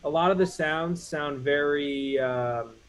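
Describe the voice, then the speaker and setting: A man's voice: on the high side, slow pace. Man giving friendly production advice. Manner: mentoring, gives advice, many fillers. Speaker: host. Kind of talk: casual livestream chat.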